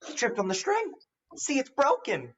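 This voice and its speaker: Woman as a mischievous boy, wildly varying pitch. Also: wildly swooping pitch, moderate pace, breathy edge, highs cut off, full character voice. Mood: excited. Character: sleepy, mischievous young boy lying in wait. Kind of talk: radio play acting.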